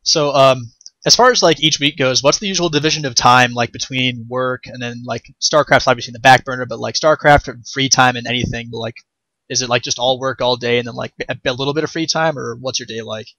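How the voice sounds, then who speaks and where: A man with a bright, forward voice conducts a casual interview. His questions are informal and loosely phrased, and the sound is very dry, with no room around it. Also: medium pitch, a little fast, no echo, rough recording.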